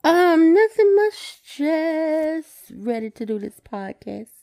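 A woman chatting casually as a podcast co-host in a hesitant, low-key way. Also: sing-song, takes it slowly, mellow. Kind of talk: casual chat.

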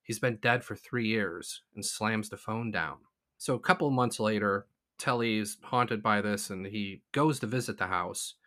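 A man's voice: lowish voice, measured pace, pushed and bright. A man co-hosting a talk show, telling an anecdote in an informal storytelling style.

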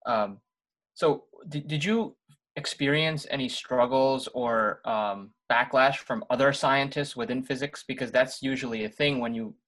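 A male interviewer asks his questions in a casual way, pausing with frequent ums. His voice is of ordinary pitch for a man, neither high nor low. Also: a bit bright. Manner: hesitant, many ums. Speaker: interview host.

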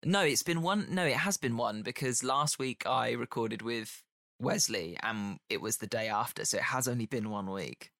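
A man hosting a casual podcast chat, friendly and talkative, his voice a little croaky because he is unwell. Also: medium pitch, normal speed, fairly bright tone, dry recording, studio quality. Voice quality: slightly croaky. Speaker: podcast host.

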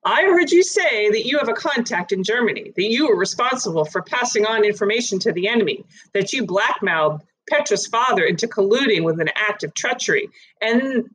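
Woman reading as a calm, formal inspector. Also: medium pitch, slight background noise, close to an everyday voice, poor audio. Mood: stern. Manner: measured, formal, accusing.

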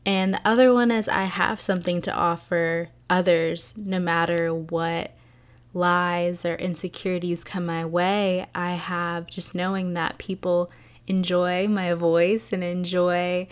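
A young woman hosting her own podcast, talking alone in a soft, soothing and earnest way, with many fillers. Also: on the low side, flat delivery, measured pace, clear, resonant tone. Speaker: podcast host. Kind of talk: reflective solo podcast talk.

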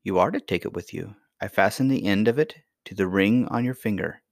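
A man voicing a kindly, mysterious grandmother in a fairy tale, gentle, patient and reassuring. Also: lowish voice, a little fast, gravelly, no echo, clean studio sound. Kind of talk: acted dialogue in a children's fairy tale reading.

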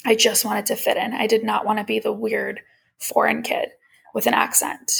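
Creaky-voiced young woman, slightly high and husky. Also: completely flat delivery, moderate pace, fairly bright tone. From Iran. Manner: candid, reflective, conversational. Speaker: podcast host. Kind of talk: casual personal monologue.

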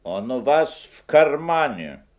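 A man's voice: medium pitch, sing-song, slow, darkish tone. An actor playing an uncanny, teasing magic-shop salesman in a radio play, with a courteous, ingratiating, theatrical delivery. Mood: sly, matter-of-fact.

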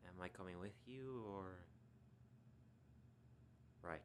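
A man playing a needy, eager, insecure sidekick who craves excitement in a dark comedic radio play. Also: low voice, slow pace, a little hiss or hum. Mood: dejected.

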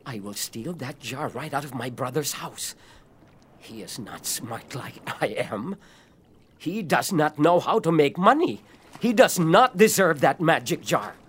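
A man's voice: on the high side, animated, unhurried, fairly bright tone, slight background noise. A male actor playing a greedy, boastful, jealous man in a children's radio play, with theatrical, exclamatory delivery. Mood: scheming.

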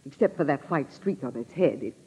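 A woman's voice: extremely low pitch, lively intonation, a little fast, mellow, a little hiss or hum, rough recording. A woman acting a determined, suspicious middle-aged sister-in-law in a 1940s radio drama, blunt and accusing, on an old radio recording. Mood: brisk, watchful.

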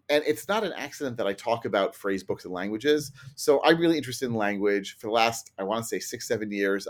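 A middle-aged man with a mid-range voice speaks as an interview guest. He explains things conversationally, like an experienced trainer, with self-deprecating asides and illustrative examples. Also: medium pitch.

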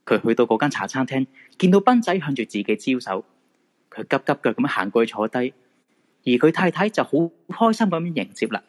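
Husky male storyteller, Hong Kong Cantonese. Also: mid-range voice, very animated, fairly quick. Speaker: narrator. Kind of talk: dramatic scripted storytelling.

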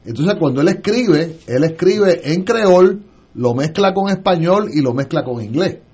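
A man's voice: fairly high pitch, animated, measured pace, darkish tone, husky. A man, a lawyer speaking as a guest on a political radio panel, hesitating often with filler sounds and restarts.

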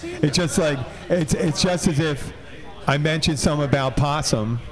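A man's voice: fairly high pitch, moderate pace, over music. A man hosting a casual podcast, rambling and laughing often, trailing off mid-sentence. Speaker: podcast host. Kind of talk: casual banter.

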